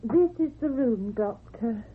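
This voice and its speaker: Chatty landlady, high husky voice, lively swings, radio drama, tinny old recording. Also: slow, heavily acted. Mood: flustered. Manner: talkative, animated. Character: chatty, fussing landlady, matter-of-fact mother.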